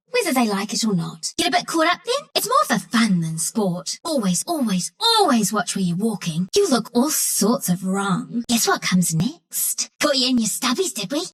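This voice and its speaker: A woman's voice: fairly high pitch, sing-song, normal speed, fairly bright tone, husky, exaggerated voice. A woman voicing a cocky, mischievous little furry forest sharpshooter for a video game, with an Australian accent and a cheeky, breezy, slangy delivery. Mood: teasing. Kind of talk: video game character voice lines.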